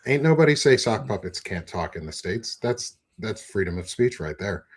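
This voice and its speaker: A man's voice: lowish voice, sing-song, moderate pace, breathy edge. A man hosting a podcast interview, encouraging and joking in a laid-back way. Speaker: podcast host. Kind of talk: casual interview conversation.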